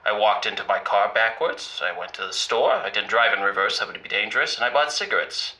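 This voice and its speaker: A man playing an anxious, sheepish oil-rig worker calling a comedy advice show, halting and rambling nervously. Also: on the low side, expressive, bright, forceful tone, rough recording. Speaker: caller on a comedy call-in show. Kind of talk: improvised comedy phone-in.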